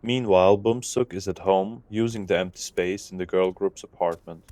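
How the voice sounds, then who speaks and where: A man's voice: sits low, steady tone, moderate pace, mellow, dry recording. A man reading scripted narration as the narrator of an audio drama.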